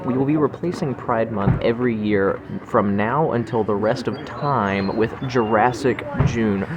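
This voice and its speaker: Male podcast co-host, lowish voice, opinionated and mock-earnest, over clear restaurant noise. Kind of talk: comedic podcast banter. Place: local restaurant. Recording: clearly noisy restaurant background.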